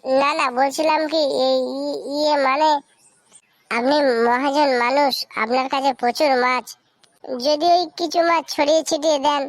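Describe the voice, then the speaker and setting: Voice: sits high, fairly even intonation, normal speed, no room sound, lightly acted. A performer voicing a wheedling young male freeloader in a cartoon comedy, hesitant and hemming. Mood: wheedling, scheming.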